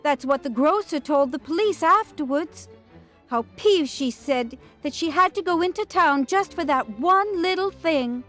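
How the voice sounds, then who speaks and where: An actress playing a chatty, fussy country housekeeper and cook in an audio drama. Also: female voice, high voice, animated, fairly bright tone, faint music behind. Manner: chatty, then indignant and hurried. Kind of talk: radio drama acting.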